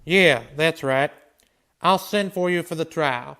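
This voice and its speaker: An actor playing a steady frontier town marshal, commanding but gentle, in a Western radio drama. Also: male voice, a little high, takes it slowly, a little hiss or hum, full character voice. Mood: brisk, businesslike.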